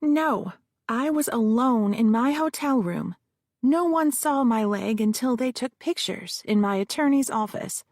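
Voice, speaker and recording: A woman's voice: a little high, lively intonation, a little slow, a little mellow, no echo, pitched above the performer's own voice. A defensive young woman on the witness stand, as a narrator voices her, drawling in a Southern California accent and keeping her answers short. The voice sits close and dry, with no room sound.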